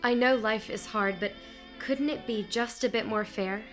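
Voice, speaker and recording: A woman's voice: on the high side, moderate pace, a bit bright, faint music behind, lightly acted. An actress playing a struggling, jittery young woman in love in a Depression-era radio play, earnest and guarded. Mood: earnest, uneasy. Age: young adult.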